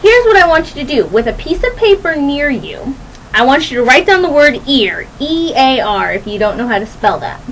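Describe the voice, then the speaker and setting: A young woman hosting a radio show, rambling casually to listeners in a chatty, playful, animated and giggly way. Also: high voice, moderate pace, slight background noise, poor audio. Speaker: radio host.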